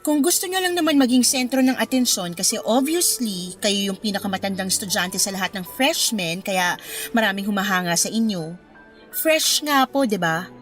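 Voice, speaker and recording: A woman's voice: mid-range voice, normal speed, close to an everyday voice. A woman playing a curt, resentful young college student in a radio drama, with cold, blunt politeness. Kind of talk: radio drama acting in Filipino. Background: music bed.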